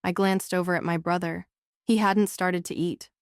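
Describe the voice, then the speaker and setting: A woman's voice: lowish voice, steady tone, normal speed, smooth, no echo, studio quality. A woman narrating a novel as a scripted audiobook.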